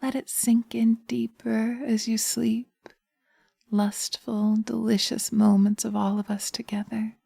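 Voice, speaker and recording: A woman playing a seductive, vengeful witch in slow hypnotic audio roleplay, breathy and often whispering, teasing and commanding, close to the mic. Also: on the high side, flat delivery, warm tone, dry recording, voice pushed up, full character voice. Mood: soothing, hypnotic. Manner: sultry, drawn-out, teasing, commanding. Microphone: close microphone, dry binaural recording.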